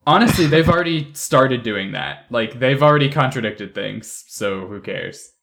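A man's voice: mid-range voice, expressive, normal speed, vocal fry, fairly bright tone. A man co-hosting a podcast, talking casually with a dry, sarcastic sense of humour. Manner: dryly sarcastic. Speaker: podcast co-host. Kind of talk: casual joking panel chat.

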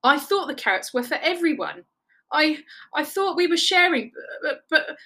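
A woman's voice: high-pitched, sing-song, measured pace, pushed and bright, husky, voice pushed up, full character voice. A woman voicing a sleepy, unbothered sloth in a children's story, with a tired, matter-of-fact drawl. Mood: hesitant.

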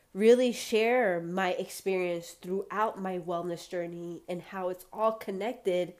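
A woman's voice: medium pitch, a little mellow. A woman hosting her own podcast, talking straight to listeners in an encouraging, motivational way. She sounds a little congested and wheezy. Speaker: podcast host. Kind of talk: solo podcast monologue.

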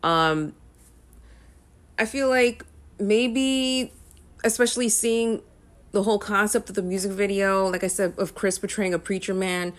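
A woman's voice: medium pitch, a little slow, long pauses. A young woman talking casually to camera as a music vlogger, rambling with frequent ums and uhs.

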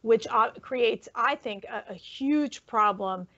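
Affirming woman co-host, slightly high. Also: slow pace, sharp tone. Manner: affirming, conversational. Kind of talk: panel discussion. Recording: muffled lo-fi audio.

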